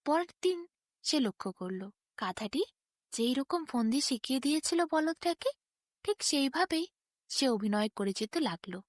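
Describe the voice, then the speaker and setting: A woman narrates a scripted children's bedtime story as the storyteller. Her voice sits a little high, and the recording is very dry, with no room around it. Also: lively intonation, a little slow, no echo.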